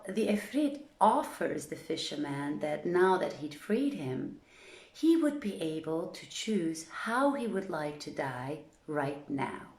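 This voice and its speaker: A woman hosting a reading session, retelling a story informally to an audience with pauses between phrases. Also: fairly low pitch, darkish tone, roomy sound. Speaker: host of an online reading session.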